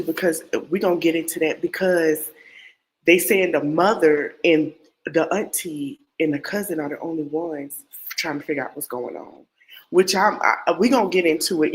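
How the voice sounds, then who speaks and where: A woman's voice: deep voice, rough recording. A woman hosting a casual true-crime podcast, nasal and congested from a cold. She rambles, repeats herself and talks straight to her viewers. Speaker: podcast host.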